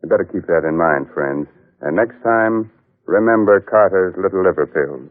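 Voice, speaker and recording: A man hosting an old radio show in a measured, theatrical announcer's style. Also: deep voice. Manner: measured, theatrical, rhetorical questions. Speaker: host and announcer. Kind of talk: 1940s radio horror hosting and sponsor reading. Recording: tinny old radio broadcast. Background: music.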